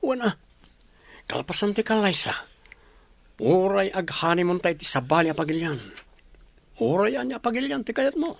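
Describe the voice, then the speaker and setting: A man's voice: sits high, expressive, normal speed, gravelly, long pauses, dry recording. A man with an Ilocano accent playing an eager, boastful suitor in a radio drama, wooing with big promises. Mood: elated.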